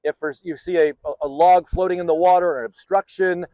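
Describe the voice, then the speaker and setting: A man with a fairly high voice speaks as a sailing instructor, recorded close and dry. He explains things practically and informally to viewers, sometimes halting to rephrase himself. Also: fairly even intonation, mellow, dry recording, low-quality recording. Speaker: sailing instructor and channel host.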